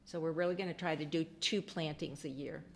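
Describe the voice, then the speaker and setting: A woman village trustee with a loud voice, giving a chatty report at a council meeting with many pauses and ums. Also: low-pitched, slight background noise.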